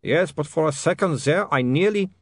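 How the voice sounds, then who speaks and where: A man's voice: fairly high pitch, animated, normal speed, dry recording, studio quality, voice pushed up. A man voicing an anguished, polite male vampire in an audiobook, with a trembling, wailing voice and melodramatic, pleading delivery. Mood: ashamed.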